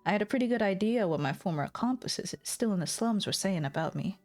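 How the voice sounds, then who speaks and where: A woman voicing a weary, embittered, ambitious lord who narrates the story in a measured noir manner. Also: medium pitch, animated, darkish tone, soft background music, close to an everyday voice. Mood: weary, resolute. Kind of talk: scripted dramatic narration.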